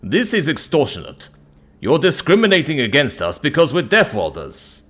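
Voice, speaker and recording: A man's voice: a little high, huge pitch swings, normal speed, pitched above the performer's own voice, exaggerated voice. Man playing a blustering, belligerent spaceman. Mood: outraged. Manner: loud, confrontational. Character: belligerent, blustering human spaceman, indignant bully.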